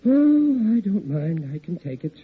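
An actor playing a blustering, sheepish working-class American husband, stammering through an old radio sitcom. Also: male voice, sits high, big pitch swings, unhurried, husky, full character voice. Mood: wounded, blustering. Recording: tinny, noisy vintage radio recording.

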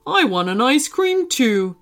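A woman's voice: high voice, sing-song, a little slow, voice pushed up. A woman voicing a whiny, teasing, dramatic best friend in a children's story, complaining and exclaiming. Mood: whiny, indignant.

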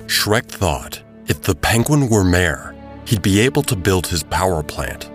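A male narrator with a low voice tells the story of an audio drama. His scripted delivery is dramatic, set over a clear music bed. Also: sing-song, a little slow.

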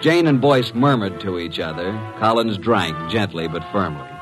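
A wisecracking American detective's assistant from a vintage radio drama. He is deadpan and unflappable, yet his pitch moves freely. Music plays around the voice. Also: moderate pace. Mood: dry narration. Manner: wry, deadpan. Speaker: actor and first-person narrator. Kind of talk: 1950s radio detective acting.

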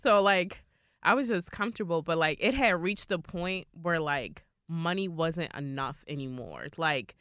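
A low-pitched young woman talks in a loose, candid way, heavy with "like" fillers and casual swearing. She is a podcast co-host. The sound is close and dry. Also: expressive, measured pace, fairly bright tone, clear, resonant tone, no echo. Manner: blunt, swears freely, many "like" fillers.

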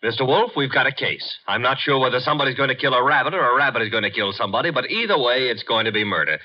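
An actor playing a wisecracking, long-suffering young detective's assistant in a 1950s radio drama. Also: male voice, mid-range voice, very fast, a little hiss or hum, natural voice. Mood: wry, pleading. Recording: dull vintage radio broadcast recording.

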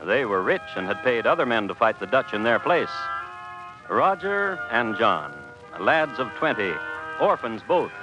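An actor playing a droll, solemn crime connoisseur, narrating a radio show in a dry, deliberate manner. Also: male voice, mid-range voice, big pitch swings. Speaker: host and narrator. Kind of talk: 1950s radio scripted narration. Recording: dull vintage radio recording. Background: music.

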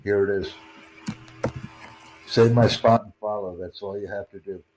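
A man's voice: lowish voice, steady tone, measured pace, darkish tone, slight background noise, rough recording. A man hosting a casual video chat, earnestly promoting his language course and repeating himself. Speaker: language-learning channel host.

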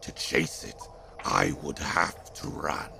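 A man playing an excitable talking dog-boy minion who recites bad poetry pompously in a comic fantasy audio drama. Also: extremely low pitch, takes it slowly, gravelly, background noise, heavily acted. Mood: pompous reciting. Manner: pompous verse recital, indignant and eager.

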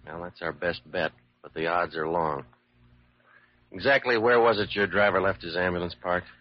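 Guy doing world-weary hardboiled detective from an old radio show, low voice, talks blunt, muffled sound. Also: normal speed, natural voice. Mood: skeptical. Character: cynical, hard-boiled male police lieutenant. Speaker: actor in a radio drama. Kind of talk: classic hard-boiled radio drama acting.

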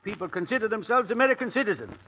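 An actor playing a hot-headed, belligerent citizen who argues bluntly and indignantly in an old-time radio drama. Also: male voice, high voice, very animated, rapid-fire, pushed and bright, husky, heavily acted. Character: hot-headed, belligerent man from Maine demanding war. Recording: dull, lo-fi old radio recording.

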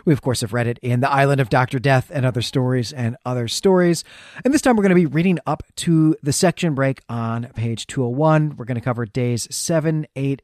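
A man's voice: mid-range voice, a little mellow, dry recording, studio quality. A man hosting a podcast, recapping in a conversational way with dramatic emphasis as he goes. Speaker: podcast host.

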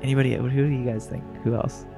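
A man of middle pitch plays a tabletop game, blunt and quick to swear. He talks with playful exasperation, sometimes slipping into character. Soft music sits in the background. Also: little pitch movement. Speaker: player. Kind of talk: casual tabletop game play, partly in character.

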